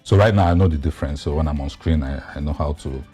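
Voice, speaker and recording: A man with an extremely low, mellow voice talks as an interview guest. He explains in a halting, deliberate way. Faint music plays behind him. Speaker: guest actor.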